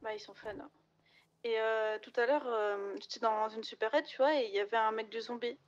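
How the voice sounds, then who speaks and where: Woman, fairly high voice, chatty slangy roleplay storyteller with halting delivery, dull lo-fi voice-chat sound. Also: fairly even intonation. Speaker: online roleplay player. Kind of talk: casual in-character storytelling.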